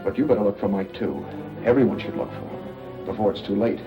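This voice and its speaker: An actor playing a smooth murderer posing as an injured lodger, folksy and coaxing, in 1940s radio thriller style. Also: male voice, on the low side, brisk, natural voice. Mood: feigned concern. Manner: folksy, coaxing, feigning innocence. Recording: dull vintage 1940s radio recording, music bed.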